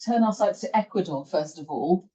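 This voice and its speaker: A woman's voice: lowish voice, lively intonation, normal speed, dark tone. A woman chairing a lecture panel, politely handing out questions to speakers. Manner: polite, directing turns. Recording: distant mic, muffled sound.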